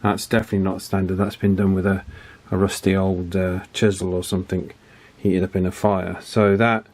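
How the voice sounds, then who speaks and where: Low-voiced man thinking aloud while tinkering. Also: flat delivery, normal speed, darkish tone. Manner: hesitant, methodical, repeats himself while working. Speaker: radio repair hobbyist presenting a video. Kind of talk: casual hands-on repair commentary, thinking aloud.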